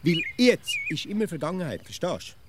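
A man's voice: high-pitched, sing-song, normal speed, noisy background. An actor playing a stubborn, grumbling provincial Swiss amateur philosopher in a comic radio play, with a Swiss accent in his German. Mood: insistent. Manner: pedantic, grumbling, emphatic.

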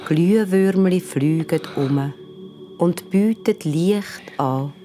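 Very slow, low-voiced bedtime storyteller. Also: female voice, warm tone, soft background music. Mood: soothing, drowsy. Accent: Swiss German. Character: soothing, hypnotic bedtime story narrator. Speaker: narrator. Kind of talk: scripted sleep-story narration.

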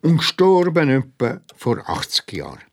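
An eager detective sidekick reports in a children's radio play. The husky voice swings up and down as he draws out the suspense. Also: mid-range voice, huge pitch swings, moderate pace. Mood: excited, secretive. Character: Leo, Lisa's detective partner, eager storytelling sidekick.

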